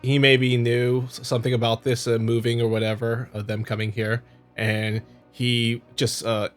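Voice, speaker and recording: A man hosting a visual novel playthrough, reading narration and commenting casually in a halting, stumbling way. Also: mid-range voice, level tone. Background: music.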